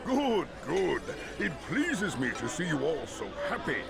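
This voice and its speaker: An actor playing a corrupt, grandiose merchant lord in a video game, speaking in a theatrical, oratorical manner. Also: male voice, on the high side, big pitch swings, vocal fry, heavily acted. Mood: grandly gracious. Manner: oratorical, theatrical, slow dramatic pauses. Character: corrupt, grandiose, bitter merchant lord addressing his guests. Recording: loud music and crowd behind.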